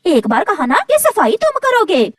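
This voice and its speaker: Woman playing a brazen high-pitched cartoon crow wife. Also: wildly swooping pitch, normal speed, exaggerated voice. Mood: defiant. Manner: sassy, pushy. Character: brazen, quarrelsome, thieving female crow wife.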